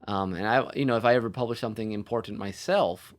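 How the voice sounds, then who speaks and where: A man's voice: fairly low pitch, fast. A man co-hosting a podcast as an author, chatting casually in a rambling, self-deprecating way.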